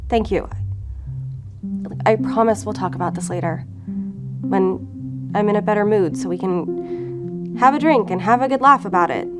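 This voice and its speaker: Weary female agent, played by an actress, audio drama: medium pitch, clipped, guarded delivery, clear music bed. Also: normal speed, pauses often, close to an everyday voice. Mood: strained, dismissive. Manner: curt, guarded, controlled.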